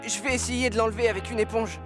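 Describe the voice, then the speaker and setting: A man's voice: very high voice, fairly even intonation, fast. A young cartoon firefighter who is clumsy and tender. He speaks hesitantly, in an anxious, apologetic way. Music runs underneath. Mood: flustered, guilty. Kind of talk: French-language cartoon dub acting.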